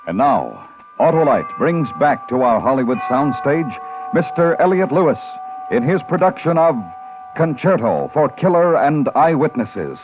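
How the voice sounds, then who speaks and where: A man announcing a 1950s radio drama in a theatrical introduction with drawn-out emphasis. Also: medium pitch, big pitch swings. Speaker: program announcer. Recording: tinny vintage radio recording. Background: loud music.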